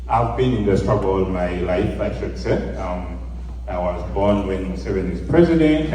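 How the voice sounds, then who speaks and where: Slow-speaking young man in a hall. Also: on the low side, dark tone, a little hiss or hum. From Uganda. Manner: slow, halting, many ums. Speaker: youth representative. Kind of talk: speech to a gathering. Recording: echoey hall, from far off.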